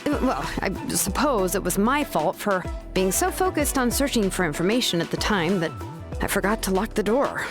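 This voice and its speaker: A composed, scholarly craftswoman from a video game, played by a woman. She explains things in measured, careful phrases at a middling pitch. Music plays beneath her. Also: expressive, close to an everyday voice. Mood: wry storytelling. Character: calm, bookish adult craftswoman who forges ancient names. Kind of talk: scripted video game dialogue.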